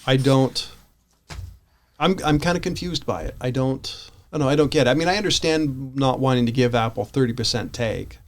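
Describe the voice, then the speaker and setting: A man's voice: mid-range voice, normal speed. Podcast co-host, American male, mid-range voice, casual and rambling, thinks aloud. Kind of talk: casual tech discussion.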